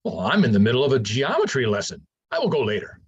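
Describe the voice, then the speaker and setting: Older male professor, played by a man: husky, very varied intonation, patient mentor with gentle wit, stage drama, slightly dull recording. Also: mid-range voice, wildly swooping pitch, fast, heavily acted. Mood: wry. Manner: patient, explanatory, conversational. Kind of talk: staged reading of a science fiction play.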